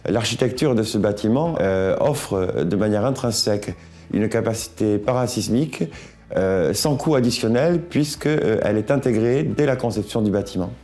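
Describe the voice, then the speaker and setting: A man presenting a project as an architect, explaining in deliberate, halting phrases. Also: on the low side, mellow, faint music behind.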